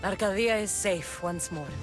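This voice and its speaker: A woman's voice: medium pitch, moderate pace, music in the background, close to an everyday voice. A woman playing a bold, wry young Greek mercenary in dramatic video game dialogue. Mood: firm, confident.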